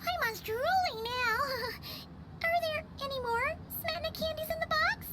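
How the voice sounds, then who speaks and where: A woman's voice: very high voice, normal speed. A woman voicing a tiny, cheerful, childlike floating companion girl in a video game, chirpy and fond of exclaiming. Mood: delighted. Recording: loud music under the voice.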